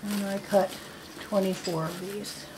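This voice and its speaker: A woman's voice: medium pitch, steady tone, unhurried, very noisy. A middle-aged woman giving a casual, plain-spoken step-by-step demonstration at a table indoors. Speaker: farmer giving a how-to demonstration. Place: indoors at a wooden table in a home.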